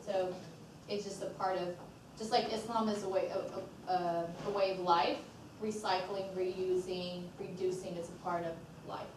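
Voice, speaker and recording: A woman on a panel speaks in a calm, matter-of-fact way with a lowish voice. She sounds distant and the hall echoes heavily around her. Room noise is clearly present. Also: moderate pace. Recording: echoey hall from far off, noisy.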